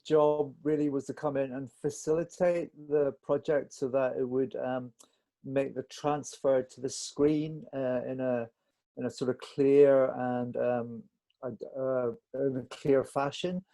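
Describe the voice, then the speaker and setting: Halting, mellow-voiced man in a Q&A. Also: mid-range voice, fairly even intonation. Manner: halting, searching for words, self-effacing. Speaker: film director interviewed on a panel. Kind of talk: conversational Q&A explanation.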